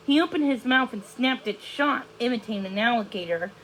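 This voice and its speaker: A woman's voice: a little high, lively intonation, brisk, fairly bright tone, slight background noise, poor audio. A woman reading a children's storybook aloud as narration. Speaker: story reader.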